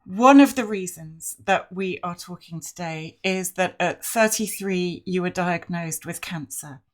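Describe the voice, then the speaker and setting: A woman hosting a podcast, introducing her guest and asking gentle, welcoming questions. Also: on the low side, a little slow. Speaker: podcast host. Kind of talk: podcast interview.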